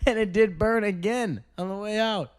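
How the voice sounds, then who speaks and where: A man co-hosting a comedy podcast, telling stories excitedly and laughing as he talks. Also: high voice, normal speed, clean tone. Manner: excitable, laughs often while telling a story. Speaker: podcast co-host. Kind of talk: casual comedic chat. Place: podcast studio at home.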